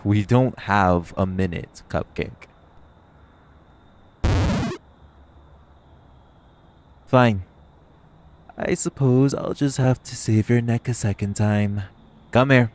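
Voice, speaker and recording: A man's voice: on the low side, slow, background noise. A man playing a cocky, flirtatious vampire who banters sardonically and swears in an audio roleplay. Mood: urgent, wry. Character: cocky, teasing, flirtatious male vampire, long-lived yet youthful.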